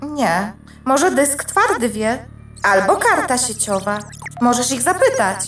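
A tiny, chatty male computer creature in a children's radio play. The voice is highish and slow, proud and playful, set a little away in an echoing space. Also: big pitch swings, soft background music. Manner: boastful, playful, emphatic. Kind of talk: children's audio drama. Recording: roomy echo, distant.

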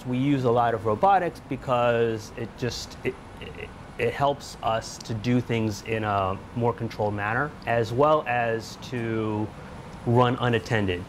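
A middle-aged man explains his research in a relaxed, unscripted way, pausing with fillers and restarting sentences. His voice sits at an ordinary pitch for a man, with a faint hum of air conditioning in the lab behind him. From Taiwan, grew up in the US. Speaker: research scientist. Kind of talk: casual interview about his lab work. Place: indoor laboratory.

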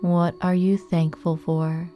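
A woman narrates a guided meditation very slowly in a dark, relaxed voice. Her delivery is gentle and soothing, with long pauses between phrases. Faint music plays behind her. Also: fairly low pitch.

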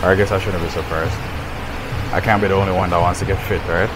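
Male fitness vlogger, thirties, low-pitched and easygoing, casual delivery recorded against heavy background noise. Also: little pitch movement, fast. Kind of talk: casual vlog chat. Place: car at night, then a large indoor gym. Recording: loud ambient noise, rumbling ventilation.

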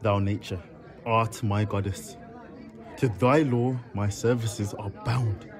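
A man's voice: lowish voice, fairly even intonation, takes it slowly. Man acting a defiant bastard son, crowd behind. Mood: defiant, resentful. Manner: declamatory, indignant. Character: defiant, resentful bastard son scorning custom. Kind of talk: Jacobean stage soliloquy in audio drama. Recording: busy crowd chatter behind.

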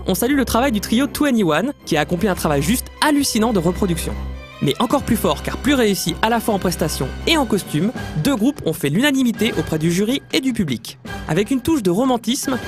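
A man narrates a convention report in a high, very animated voice that rises and falls freely. Music plays clearly under his scripted voice-over, and his delivery stays upbeat.

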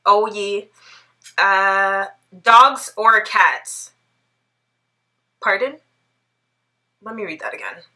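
A woman's voice: on the high side, sharp tone. A woman in her thirties from Toronto with a Canadian accent, chatting playfully to camera in a casual Q&A and laughing often. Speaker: vlogger. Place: bright living room indoors.

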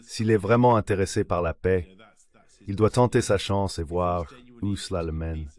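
A synthetic male voice with a low pitch reads a translated interview. It serves as the dubbed voice of a guest, delivering the answers as scripted voice-over. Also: steady tone, normal speed, a little mellow.

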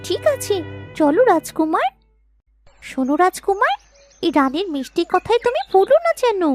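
A woman's voice: extremely high pitch, big pitch swings, normal speed, soft background music. A woman voicing a small, loyal talking myna bird in a cartoon, anxious and urgent. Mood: earnest warning. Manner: urgent, pleading. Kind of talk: cartoon fairy-tale voice acting.

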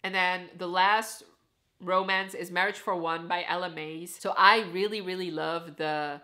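Chatty young Dutch woman, bright voice. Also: on the low side, steady tone, measured pace. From Netherlands. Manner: chatty, enthusiastic, says "like" often. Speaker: book vlogger. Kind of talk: casual talk to camera. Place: living room with full bookshelves, indoors.

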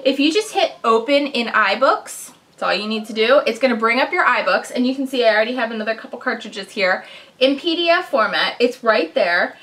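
A woman's voice: a little high, animated, a little fast, fairly bright tone. A woman in her thirties, stuffy from a cold, hosting a casual crafting tutorial vlog straight to camera at home. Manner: chatty and upbeat, talks straight to camera. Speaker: crafting channel host. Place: small room indoors at home.

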